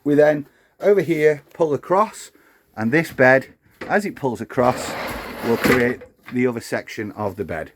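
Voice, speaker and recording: A man's voice: mid-range voice, measured pace, background noise. A man presenting an instructional video, explaining things step by step in an unscripted, hands-on demonstration.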